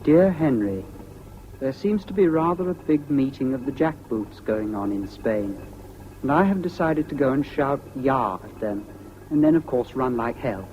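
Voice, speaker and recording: A man's voice: mid-range voice, lively intonation, normal speed, lightly acted. An actor playing a flippant young man voicing a letter in a radio drama, wry and breezy. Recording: dull, hissy old radio recording.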